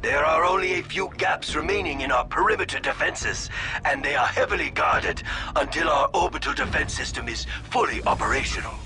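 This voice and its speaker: A man playing a dignified alien official in a sci-fi game cutscene, speaking in a formal, courtly, deliberate way. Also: a little high, over music, lightly acted. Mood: courteous, stately. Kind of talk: scripted video game cutscene acting.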